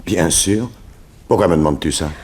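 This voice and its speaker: Self-assured private eye: deep, rough-edged voice, few words, vintage French radio play. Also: male voice, moderate pace, darkish tone. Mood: terse, reassuring. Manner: laconic. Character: terse, self-assured male detective and lover. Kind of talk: 1960s French radio drama acting.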